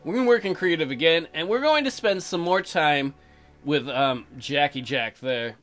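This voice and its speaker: A man's voice: a little high, animated, moderate pace, fairly bright tone, soft background music. A man hosting a comedic let's play, reading game text aloud with crude, irreverent side remarks.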